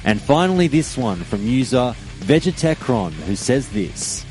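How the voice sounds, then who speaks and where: A male podcast host with a mid-range voice that swoops up and down with lots of expression. He speaks in a deliberate, measured way and leans hard on key words. Soft music plays faintly underneath. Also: medium pitch, very animated. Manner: measured, deliberate, emphatic delivery. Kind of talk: opinionated podcast monologue.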